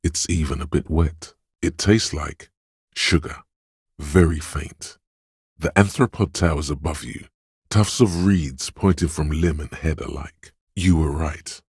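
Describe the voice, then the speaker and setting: A solemn, dryly wry narrator inside a detective's head in a video game. The voice sits extremely low and is thick with husky gravel. It moves in measured, literary phrases with deliberate pauses, recorded very dry and close. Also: male voice, a little mellow, dry recording, exaggerated voice. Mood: hushed, wondering. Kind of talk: scripted game narration, second person.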